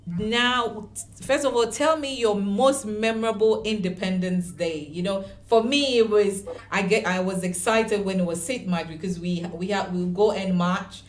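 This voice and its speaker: Enthusiastic woman radio host, echoey. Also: mid-range voice. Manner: enthusiastic, quick to praise. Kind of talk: radio interview. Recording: roomy echo.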